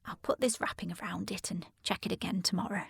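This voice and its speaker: A period drama housekeeper, raspy creaky voice, soothing n deferential, all very proper. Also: female voice, fairly low pitch, fairly even intonation, no echo, whispered, clean studio sound, heavily acted. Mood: brisk, caring. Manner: deferential, soothing, brisk servant formality. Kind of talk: period audio drama acting.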